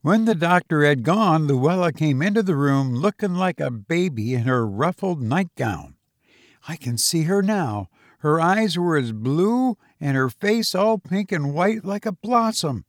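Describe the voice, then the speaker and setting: A man reading a first-person story as an audiobook, in a folksy, expressive manner with rustic dialect phrasing. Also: on the high side, a little slow, dry recording, clean studio sound. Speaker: audiobook reader.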